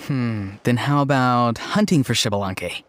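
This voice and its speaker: A man's voice: medium pitch, unhurried, a bit bright, slight background noise, natural voice. An actor playing an earnest, easygoing young tribesman in a video game, explaining things plainly. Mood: earnest, eager. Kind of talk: video game character acting.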